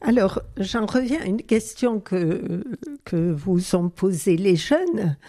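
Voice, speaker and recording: A woman's voice: mid-range voice, animated, slow, warm tone. A woman interviewing a guest on the radio, asking long, searching questions with hesitations.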